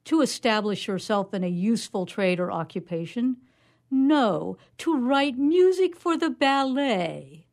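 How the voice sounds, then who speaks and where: A woman's voice: fairly high pitch, big pitch swings, unhurried, darkish tone, voice pushed up. A woman reading comic fiction as a haughty mad scientist, scolding in a pompous, florid manner. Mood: indignant scorn. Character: haughty, indignant mad scientist dictating a letter.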